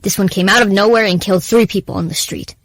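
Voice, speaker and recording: A woman playing a serious, formal presidential aide delivering a measured, deferential briefing in an audio drama. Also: mid-range voice, steady tone, no echo, lightly acted. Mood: urgent, grave.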